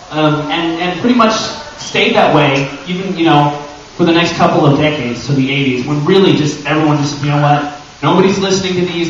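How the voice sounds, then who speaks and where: An American man giving an informal live panel talk to a convention audience, animated and conversational, with self-deprecating jokes. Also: on the high side. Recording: echoey, dull, noisy room from far off.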